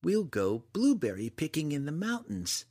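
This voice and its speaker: A man's voice: on the high side, wildly swooping pitch, normal speed, mellow, voice pushed up, exaggerated voice. A man voicing a defiant, mischievous little farm boy who protests stubbornly and emphatically. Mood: eager insistence.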